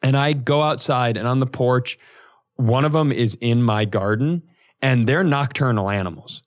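A man's voice: medium pitch, normal speed. Rambling, profane man telling comic stories. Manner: rambling, profane, animated exaggeration. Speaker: comedy podcast co-host. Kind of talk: casual comic storytelling.

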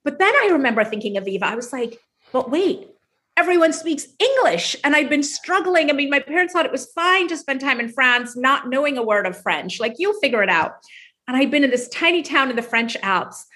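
Jamaican woman telling a lively story. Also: high-pitched, brisk. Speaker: guest physician. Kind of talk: storytelling in an interview.

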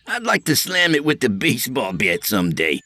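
A man's voice: mid-range voice, wildly swooping pitch, moderate pace, bright, forceful tone, no echo, pitched above the performer's own voice, heavily acted. A man voicing a nervous, eager-to-please male follower with a raised, placating voice. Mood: jittery.

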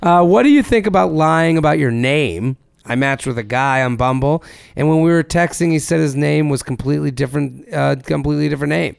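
Male podcast co-host, raspy and lively, swears casually, dry studio sound. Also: on the high side, a little fast, no echo. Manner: animated, swears casually, reads listener emails aloud. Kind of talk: casual chat.

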